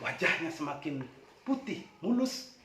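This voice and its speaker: A male storyteller narrates a folk tale playfully and dramatically. His high voice moves widely up and down. He sounds some way from the microphone in an echoey room. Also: big pitch swings, a little slow, sharp tone, a little hiss or hum. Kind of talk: animated oral folk storytelling. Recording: echoey room, distant from mic.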